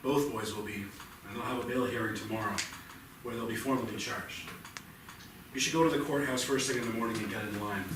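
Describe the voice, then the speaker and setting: Blunt, procedural stage-play detective, acted by a man: medium pitch, echoey theatre, noticeable background noise. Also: a little fast, close to an everyday voice. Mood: matter-of-fact. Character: firm, matter-of-fact male police detective. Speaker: actor. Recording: echoey theatre, distant mic, noisy background.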